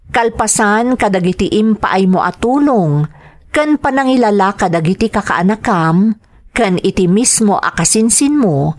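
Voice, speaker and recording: A woman's voice: medium pitch, a little mellow, close to an everyday voice. A woman playing a sympathetic friend in a radio drama, consoling and moralizing in an earnest way.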